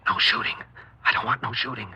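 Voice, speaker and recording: An actor playing a nervous, blunt, distrustful small-time burglar in a 1950s radio crime drama, with streetwise, colloquial speech. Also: male voice, lowish voice, a little fast, pushed and bright, slightly rough, full character voice. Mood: panicked. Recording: noisy, dull 1950s radio recording.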